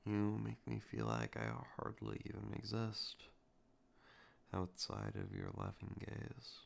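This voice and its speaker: A man performing a romantic ASMR roleplay to the listener, soft, intimate and teasing with a possessive edge. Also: sing-song, slow. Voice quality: low gravelly voice with heavy vocal fry.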